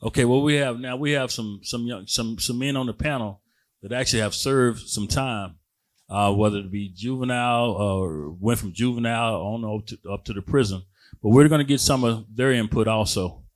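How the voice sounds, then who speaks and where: Man, panel moderator, informal, says y'all and man a lot, mid pitch. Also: moderate pace. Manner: informal, directs guests with repeated "man" and "y'all". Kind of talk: hosting a community panel.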